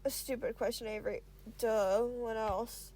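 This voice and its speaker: Cool, smooth young guy student, played by a woman, nonchalant and unhurried, for an audio story. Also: a little high, fairly even intonation, darkish tone, low-quality recording. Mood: dry.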